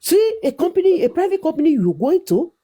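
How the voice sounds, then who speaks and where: Nigerian woman, young adult, insistent debater who won't yield the floor; mellow, animated delivery. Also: very high-pitched, brisk. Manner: insistent, talks over interruptions, repeats herself. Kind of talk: heated debate.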